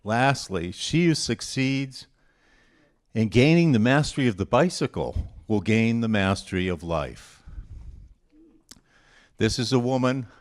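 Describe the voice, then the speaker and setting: A man presenting a quote quiz, reading quotations aloud and chatting informally with a group in a deliberate, conversational way. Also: medium pitch, lively intonation.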